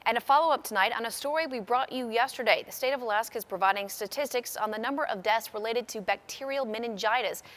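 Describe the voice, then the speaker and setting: A woman's voice: on the high side, quick pace, hardly any pauses, a bit bright. A woman anchoring a television newscast, reading scripted news in a presentational way.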